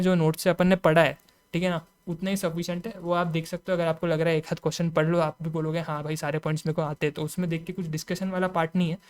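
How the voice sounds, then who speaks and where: An Indian-accented man teaches in a quick, conversational way, speaking straight to his students and checking in as he goes. His voice is clean and smooth, with a fairly flat melody. He sounds like an informal online lecturer working through material. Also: fairly high pitch. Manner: conversational, repeatedly adds check-in tags and addresses students directly. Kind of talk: informal explanatory lecture, mixing Hindi and English.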